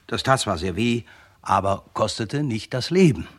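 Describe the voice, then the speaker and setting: Lively, husky, wry crime-story narrator. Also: male voice, on the low side, moderate pace. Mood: wry storytelling. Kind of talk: first-person radio crime drama narration.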